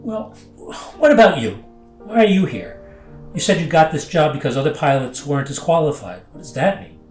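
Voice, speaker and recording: A man playing an earnest, easily rattled pilot in an audio drama, halting and indignant in his delivery. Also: a little high, big pitch swings, roomy sound, soft background music. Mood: defensive. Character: defensive, flustered, earnest male pilot. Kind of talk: naturalistic audio drama dialogue.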